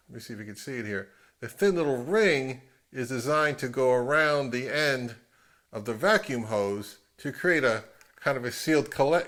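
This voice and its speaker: A man narrating a casual product review in a measured, wry and mildly critical manner. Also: mid-range voice, a bit bright.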